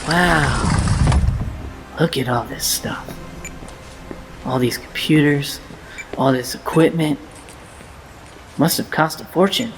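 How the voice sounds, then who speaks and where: A man's voice: on the high side, takes it slowly, vocal fry, pauses often, background noise. A male actor playing an eager, earnest, wide-eyed orphan boy in an audio drama, with background ambience. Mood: amazed.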